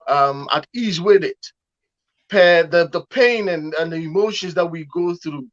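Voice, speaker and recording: A man's voice: on the high side, a little slow, fairly bright tone. A man from Ghana, a pastor speaking as a guest on an online panel, talking earnestly in a preaching manner and often repeating words as he starts phrases. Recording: muffled lo-fi call audio.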